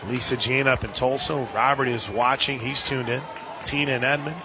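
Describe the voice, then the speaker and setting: A man calling a softball game live as a radio play-by-play announcer, in a folksy, chatty way with listeners. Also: medium pitch, fairly even intonation, normal speed. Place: outdoor softball stadium. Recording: loud crowd and outdoor stadium noise. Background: crowd noise, outdoor ambience.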